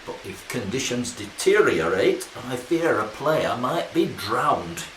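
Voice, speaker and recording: Actor as an earnest referee, animated, echoey and distant. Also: male voice, mid-range voice, slow. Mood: anxious resolve. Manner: formal, firm, pedantic. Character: earnest, principled, cautious male rugby league referee. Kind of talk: radio play acting. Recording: echoey, distant, loud background hiss.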